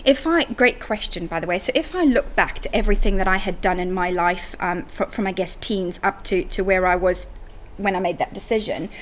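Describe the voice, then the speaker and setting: A woman's voice: medium pitch, fairly quick, a bit bright, a little hiss or hum. A woman from Zimbabwe speaking as a podcast guest and coach in a conversational interview.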